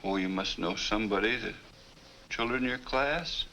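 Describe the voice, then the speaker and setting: A man playing a calm, reassuring psychiatrist in a 1950 educational film, speaking in a patient, measured way. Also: on the low side. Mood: friendly, coaxing. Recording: noisy vintage 1950 film soundtrack.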